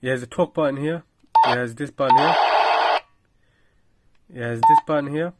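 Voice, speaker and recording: A man's voice: medium pitch, normal speed, very noisy. A man giving a casual product review in a plain-spoken, slightly hesitant explaining manner.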